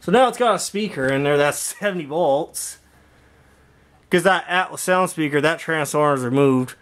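Man, fairly high pitch, casual vlog walkthrough, rambling, thinking aloud, indoor workshop. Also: moderate pace, a bit bright. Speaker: video creator showing a home intercom and speaker system.

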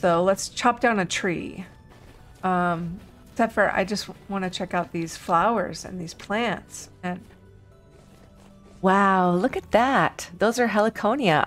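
A woman hosting a casual let's play, thinking aloud unhurriedly while getting over an illness. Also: medium pitch. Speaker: gaming video host.

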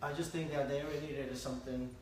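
A man walks through a recipe step by step, pausing often and filling gaps as he works. He sounds set back from the mic in a roomy, echoing restaurant with clear background noise. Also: mid-range voice, fairly even intonation, darkish tone. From Palm Beach area, Florida. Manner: hesitant, many fillers. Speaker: chef. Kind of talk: cooking demonstration. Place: restaurant kitchen. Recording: echoey restaurant, far from mic.

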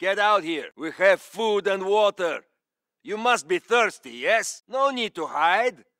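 A man voicing a gruff, cynical mercenary soldier in a video game. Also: high-pitched, lively intonation, takes it slowly, sharp tone, dry recording, studio quality, full character voice. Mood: coaxing.

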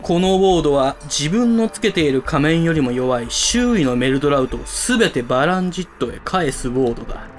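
A man's voice: fairly high pitch, sing-song, brisk, hardly any pauses, soft background music. A man playing a pompous, villainous school club president obsessed with masks, grandiose and theatrical, in an amateur voice drama. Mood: gloating, grand.

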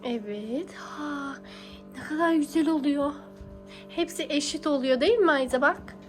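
A woman's voice: high-pitched, measured pace, soft background music. A young woman, a mother talking casually and affectionately to her small child, encouraging with pet names and repeated phrases. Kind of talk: casual coaxing talk to a small child while baking.

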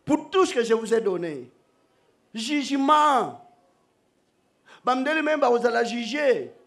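High-voiced animated preacher man. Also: takes it slowly, vocal fry. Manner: animated, joking, switching between shouting and soft asides. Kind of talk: sermon. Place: outdoor church stage under a canopy. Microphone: stand microphone.

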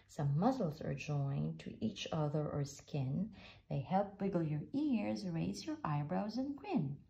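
A woman slowly reading a rhyming picture book aloud, with an expressive read-aloud manner. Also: vocal fry, muffled recording. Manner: slow, expressive read-aloud with lively pitch. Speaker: storybook reader.

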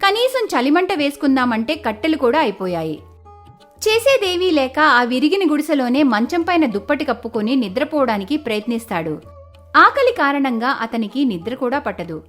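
Female narrator for moral tales: very high pitch, animated storytelling, light music bed. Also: normal speed.

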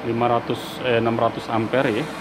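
A man's voice: mid-range voice, flat delivery, fairly quick. A man with an Indonesian accent giving a casual, explanatory product demonstration, repeating key points. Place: indoor workshop. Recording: clear workshop hum and ambient noise.

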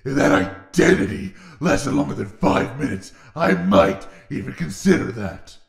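A bloodthirsty demon war god in a comic dub. He is gruff, exasperated and deeply gravelly, speaking slowly, with a roomy echo around him. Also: big pitch swings, exaggerated voice. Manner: gruff, sardonic.

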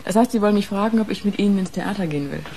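An actress as a guarded young woman in mourning, in a radio crime play. Her voice is dark and soft-edged, hesitant, with faint noise behind it. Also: mid-range voice, rapid-fire, natural voice. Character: guarded, grieving sister of a dead policeman. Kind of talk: naturalistic radio play acting.

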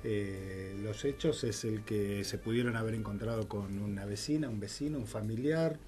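An older man with an Argentine accent, a theater director speaking as a radio guest, thoughtful and full of pauses and fillers, into a studio mic. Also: fairly low pitch, darkish tone. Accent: Argentine Spanish. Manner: thoughtful, many "este" fillers. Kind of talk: casual radio interview. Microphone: studio mic with headphones.

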